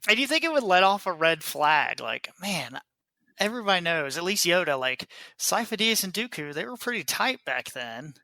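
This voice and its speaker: A man's voice: sits high, very animated, moderate pace, pushed and bright, no echo. A man co-hosting a podcast in informal, casual chat, dropping "like" into his sentences. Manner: informal, peppered with "like". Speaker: podcast co-host.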